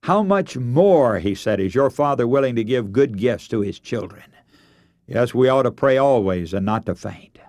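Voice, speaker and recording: A man's voice: medium pitch, animated, moderate pace, darkish tone, dry recording, studio quality. A man preaching a radio sermon, earnest and emphatic, telling stories and posing rhetorical questions.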